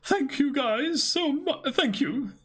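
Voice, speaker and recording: A man's voice: extremely high pitch, lively intonation, slow, fairly bright tone, voice pushed up, full character voice. A man voicing a grateful, lovestruck pirate woman in a comic reading. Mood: gushing, grateful.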